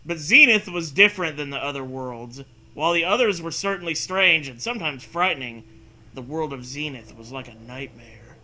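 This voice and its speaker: A man's voice: fairly high pitch, expressive, moderate pace, sharp tone, rough recording. A man co-hosting a show, reading a story aloud in mock-serious style with sarcastic, profane asides.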